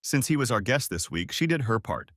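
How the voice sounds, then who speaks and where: A man narrating a first-person story for an audiobook, raising his pitch for quoted women. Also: very animated, a little fast, no echo, studio quality.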